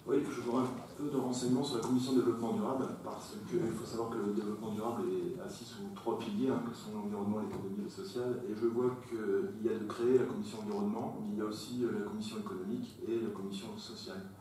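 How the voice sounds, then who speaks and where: A man with a mellow, fairly low voice puts questions at a council meeting, talking quickly. The room is very echoey. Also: steady tone. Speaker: council member asking questions. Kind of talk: spontaneous questioning in a formal meeting. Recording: very echoey hall, distant mic, faint noise.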